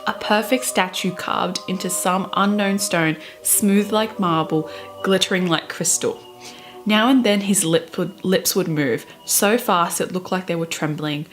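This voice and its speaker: A young adult woman with a theatrical, expressive way of talking, doing dramatic readings and chatty commentary to camera in a bedroom. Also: fairly low pitch, measured pace, soft background music. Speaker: book vlogger. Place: small bedroom, indoors.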